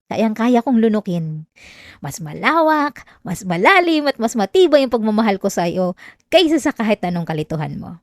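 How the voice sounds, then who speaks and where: A woman acts out an unhinged, possessive young-love yandere girlfriend with a Filipino accent. She speaks in a coaxing, clingy way, sweet on the surface and threatening underneath. It is intimate ASMR roleplay, very close to the mic and dry, in a medium-pitched female voice. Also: big pitch swings, normal speed, darkish tone, dry recording. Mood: wounded, unsteady. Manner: clingy, coaxing, breaking into giggles, veiled threats. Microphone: close dry microphone.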